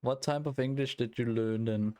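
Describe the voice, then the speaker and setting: A man's voice: mid-range voice, fairly even intonation, normal speed, a little mellow. A man from Denmark with a Danish accent, chatting casually on a live stream while he codes, blunt, swearing often and laughing.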